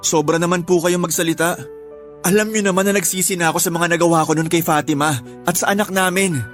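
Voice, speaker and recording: A man's voice: high-pitched, fairly even intonation, fast talker, a bit bright, soft background music. An actor playing a remorseful, pleading young father in a radio drama, with a Filipino accent. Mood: hurt, defensive. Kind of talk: Tagalog radio drama acting.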